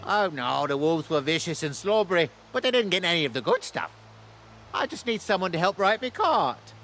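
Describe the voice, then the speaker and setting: An actress playing a self-pitying, wheedling merchant in a video game, complaining with theatrical exasperation. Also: female voice, lowish voice, very animated, normal speed, fairly bright tone, soft background music. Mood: indignant, pleading. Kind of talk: video game character acting.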